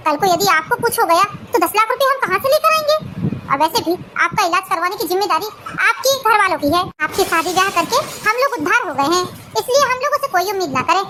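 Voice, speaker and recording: A very high-pitched, scolding voice with clear noise behind it: a woman playing a stingy, hard-hearted sister-in-law in a cartoon family drama. She lectures bluntly and coldly.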